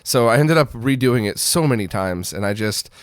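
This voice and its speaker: A man with a clean, smooth voice presents gaming news and reviews as a show host. His delivery is informal and conversational, and he readily gives his own opinion. The sound is dry, with little trace of room echo. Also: mid-range voice, expressive, moderate pace. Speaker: host of a weekly retro gaming news roundup. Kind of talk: casual news and review commentary.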